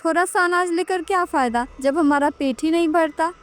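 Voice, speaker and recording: A woman voicing a poor farmer's worried, self-sacrificing wife in an animated story, complaining yet caring. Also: very high voice, moderate pace. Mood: urging.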